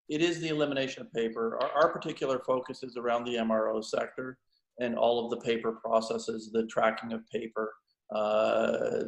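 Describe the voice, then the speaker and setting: A man giving explanatory interview answers as an industry expert, in measured, formal wording with hesitations. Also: mid-range voice, vocal fry. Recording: roomy, echoey sound.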